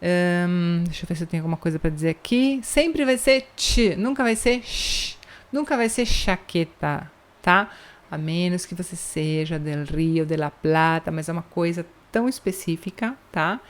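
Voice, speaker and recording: A young woman from Brazil teaching a casual online lesson, often checking in with listeners and slowing down to spell out example words. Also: lowish voice, expressive. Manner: chatty, checks in with "tá bom?" after points. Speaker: Spanish teacher.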